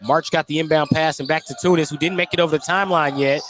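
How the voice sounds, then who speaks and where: A man's voice: a little high, fairly even intonation, fast talker, fairly bright tone, faint music behind. A man doing live play-by-play commentary of a basketball game. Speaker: play-by-play announcer. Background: basketball gym ambience, bouncing balls.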